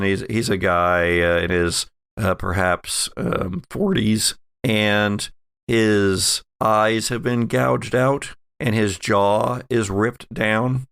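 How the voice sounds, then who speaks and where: A man narrating a tabletop game as game master, improvising descriptions in a halting way. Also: fairly low pitch, gravelly, fairly bright tone, clear, resonant tone, no room sound, clean studio sound. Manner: halting, pieces descriptions together as he goes.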